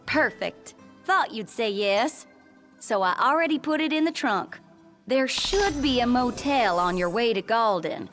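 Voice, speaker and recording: An actress playing a young, easygoing garage mechanic in a video game, folksy and sweetly cajoling in a Southern US accent. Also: female voice, a little high, lively intonation, a little slow, a bit bright, faint music behind. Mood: friendly. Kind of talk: video game cutscene acting.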